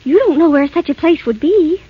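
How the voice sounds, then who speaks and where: A woman's voice: very high voice, lively intonation, fairly quick, heavy background noise. A woman playing a sweet, innocent young fairy-tale princess with childlike, theatrical delivery in 1930s radio style. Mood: relieved, gentle. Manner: wide-eyed, childlike, theatrical exclamations. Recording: muffled 1938 radio recording with music.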